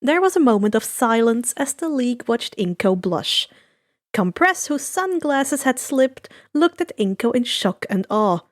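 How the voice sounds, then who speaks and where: A woman narrates a piece of fan fiction. Her voice is high in pitch, and she reads the scripted prose as a storyteller. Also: sing-song, a little slow.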